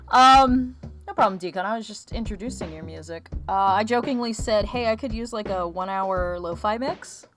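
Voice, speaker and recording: A woman's voice: mid-range voice, normal speed, fairly bright tone. Chatty woman streamer over background music. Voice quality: slight lisp from a missing molar. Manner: rambling, chatty, self-interrupting. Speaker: streamer hosting an art livestream. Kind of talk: casual livestream chat. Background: lo-fi music track.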